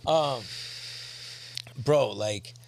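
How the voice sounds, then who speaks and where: A man's voice: mid-range voice, extremely slow, gravelly, a little mellow, a little hiss or hum. A man co-hosting a casual podcast chat, rambling and swearing often, restarting his sentences as he goes. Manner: rambling, profane, restarts a lot. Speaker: podcast co-host.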